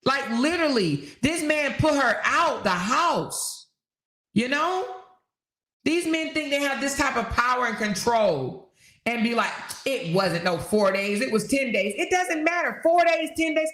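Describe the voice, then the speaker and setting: A woman's voice: sits high, very animated, a little slow, fairly bright tone, reverberant. A woman hosting a casual livestream, reading viewer comments and commenting on them emphatically, repeating her points and tossing in rhetorical questions. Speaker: livestream host.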